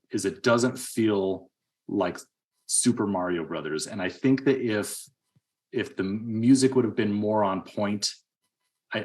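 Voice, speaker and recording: A middle-aged man giving his opinions in a casual, rambling movie podcast chat. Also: fairly low pitch. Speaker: podcast host.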